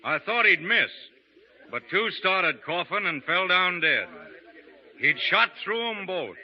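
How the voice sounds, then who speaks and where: A folksy frontier marshal. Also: male voice, high-pitched, very animated, slow, exaggerated voice. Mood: teasing. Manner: folksy, drawling, teasing storyteller. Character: easygoing frontier marshal, a laconic adult man. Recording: muffled 1930s radio broadcast.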